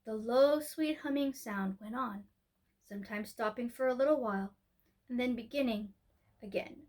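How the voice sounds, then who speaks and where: High-pitched woman reading a children's story. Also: normal speed, darkish tone. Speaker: storyteller, host of a storytime show.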